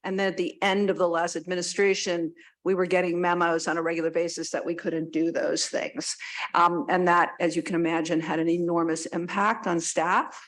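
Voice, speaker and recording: A woman's voice: fairly low pitch, level tone, moderate pace. A woman speaking as a health official in a spontaneous, explanatory panel discussion. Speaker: public health center director, panelist.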